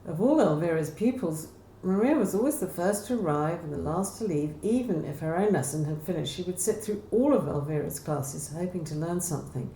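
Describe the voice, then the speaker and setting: Low, mellow woman reading aloud. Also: expressive, brisk, few pauses. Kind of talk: scripted narration, reading a novel prologue. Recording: roomy echo, faint background noise.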